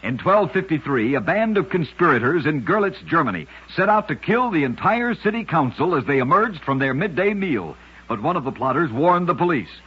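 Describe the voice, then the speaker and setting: A man tells strange true tales in the style of old-time radio. His voice sits fairly high and is very husky, with emphatic, dramatic phrasing. The recording is muffled and dated. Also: sing-song, normal speed. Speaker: radio host and storyteller. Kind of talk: old-time radio scripted narration of curious facts.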